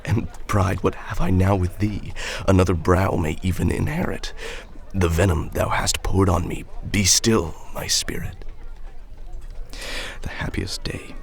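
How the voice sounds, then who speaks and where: A man's voice: low-pitched, fairly even intonation, unhurried, creaky. A man playing a brooding, self-doubting actor and conspirator in a student film drama, intense and defensive. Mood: brooding recitation. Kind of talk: student film drama acting, reciting verse.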